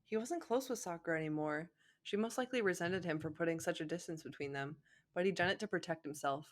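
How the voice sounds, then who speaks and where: A woman's voice: lowish voice, fast. A woman co-hosting a comedic fan fiction reading, casual and giggly, easily cracked up and prone to stumbling over lines. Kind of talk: casual comedic read-aloud with commentary.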